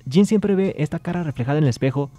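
Male news host, mellow tone, upbeat scripted read, faint music bed. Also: on the high side, quick pace, dry recording. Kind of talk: scripted entertainment news narration.

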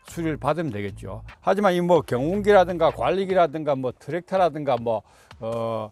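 A middle-aged man, explaining farming tips to viewers in a polite, rambling, colloquial way. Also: fairly high pitch. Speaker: video host giving farming tips. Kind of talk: informal explanatory monologue for viewers.

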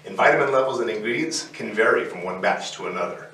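Man, 40s, co-host, plain low-voiced explainer, punches key terms, distant roomy mic. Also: lively intonation, quick pace, bright, forceful tone. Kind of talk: scripted educational explainer. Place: indoor studio set with barn-wood backdrop. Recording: roomy echo, distant mic, faint noise.

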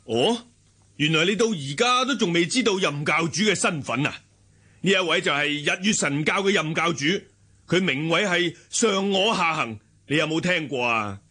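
An actor with a Hong Kong Cantonese accent playing a bold, loyal sworn brother in a wuxia radio drama, assured and emphatic. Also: male voice, a little high, lively intonation, moderate pace, bright, forceful tone, breathy edge, heavily acted. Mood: hearty, admiring. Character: bold, loyal middle-aged martial-arts sworn brother.

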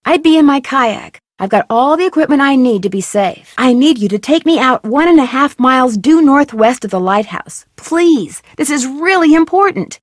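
A woman's voice: high voice, animated, moderate pace, few pauses, no echo, studio quality. A woman voicing a curious young female detective in a video game, asking questions in a polite, earnest way. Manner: inquisitive, bright and earnest. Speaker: voice actor for a game heroine.

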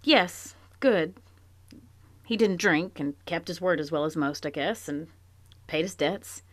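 An actress playing a plain-spoken, regretful middle-aged farm wife in a live audio drama, with earnest period rural speech. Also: female voice, on the low side, measured pace, dry recording. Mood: somber. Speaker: Mrs Hale. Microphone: home microphone in a closet.

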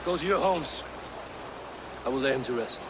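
An actor playing a grim, honour-bound Viking warrior in a video game, with a gravelly, solemn and forceful delivery. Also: male voice, medium pitch, expressive, noisy background, natural voice. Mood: defiant, commanding. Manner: solemn, forceful, measured declamation. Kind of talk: dramatic video game acting. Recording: loud music under the voice.

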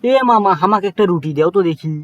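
A broke young cartoon trickster, played by a man, who is always scheming. He speaks with a Bogura accent in a high, cheeky voice. His banter is full of teasing. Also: fast talker, lo-fi sound, slight background noise, natural voice. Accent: Bogura dialect of Bangla. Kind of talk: cartoon comedy voice acting.

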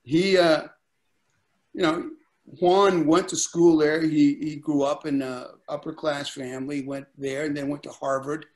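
A man's voice: on the high side, level tone, measured pace, darkish tone. Older male co-producer from Puerto Rico, webcam, easy storyteller. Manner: storytelling, recounting with quoted dialogue. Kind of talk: casual video call discussion. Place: lamp-lit living room at home. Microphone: webcam on a video call.

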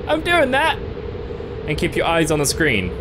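High, lively young man playing a horror game. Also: normal speed, noisy background. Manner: jokey, reads on-screen text aloud, laughs and yelps at scares. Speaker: game streamer. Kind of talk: horror game let's play commentary. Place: small dark room indoors. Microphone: headset mic.

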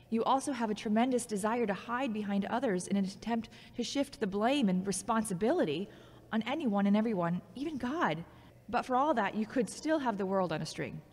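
A woman's voice: on the high side, darkish tone. An actress playing a frank, logical, critical yet caring former girlfriend in a scripted audio drama. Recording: long hall-like reverb.

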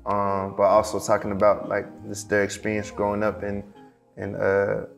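A young man from Morocco, raised in Seattle, talks in a low, even voice that barely rises or falls. He answers softly and haltingly, restarting his sentences. Faint music sits under the studio interview. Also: creaky. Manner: halting, many fillers and restarts, soft-spoken. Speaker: guest, performing artist. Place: dark studio set.

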